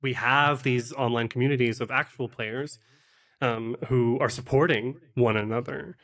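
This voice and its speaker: Male podcast guest, mid-pitched, conversational, thinking out loud with a halting, considered delivery. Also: steady tone. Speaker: podcast guest, game master. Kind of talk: conversational interview talk.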